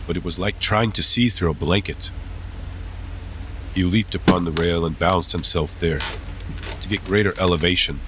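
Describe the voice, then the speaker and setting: A man with a deep voice delivers scripted dramatic narration. He is measured and suspenseful, with clearly audible sound-effect ambience running behind him. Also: pushed and bright, a little hiss or hum.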